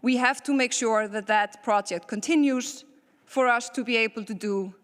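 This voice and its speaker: A woman's voice: a little high, moderate pace, a bit bright, clean tone. Woman giving a rousing formal speech. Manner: rhetorical, repeated parallel phrases. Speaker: member of parliament. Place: large parliament meeting hall. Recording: long reverb of a large hall.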